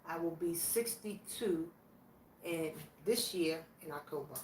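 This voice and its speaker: Slow-talking woman in her sixties, echoey room. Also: sits low, darkish tone. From Virginia, USA. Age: 60s. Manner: plainspoken, slow and reflective, answering questions aloud. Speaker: vlogger. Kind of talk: casual question challenge. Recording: echoey room, voice from a distance, faint background noise.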